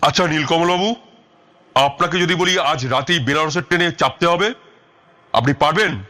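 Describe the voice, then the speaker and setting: An actor playing a brooding, resolute detective in an audio story, speaking in a deliberate, dramatic way. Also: male voice, fairly high pitch, bright, forceful tone. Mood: probing. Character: brooding, resolute male detective, shrewd leader. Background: music.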